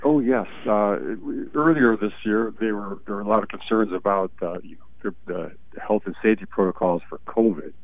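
A man with a very low, gravelly voice, hoarse and congested, calling in as a guest. He speaks haltingly and often drops to a near murmur. The line is dry and thin, like a telephone. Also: no echo. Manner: slow and halting, often trailing off quietly. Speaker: guest expert on call-in. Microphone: phone call. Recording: tinny phone line, dry.

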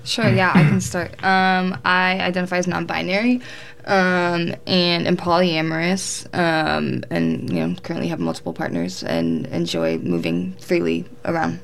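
Creaky-voiced young woman. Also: lowish voice, measured pace. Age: 20s. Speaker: panel guest. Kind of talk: informal panel talk. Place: radio studio.

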